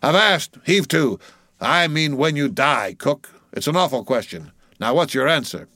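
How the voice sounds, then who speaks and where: A man's voice: on the high side, lively intonation, a little slow, sharp tone, no room sound, clean studio sound, voice pushed up, full character voice. A man voicing a bossy ship's officer in an audiobook sea story, commanding and teasing with mock solemnity.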